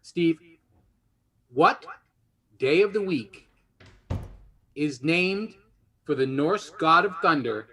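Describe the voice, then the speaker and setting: A man's voice: on the high side, lively intonation, slow pace, pauses often, sharp tone. A man hosting a game show in an unscripted, joking style.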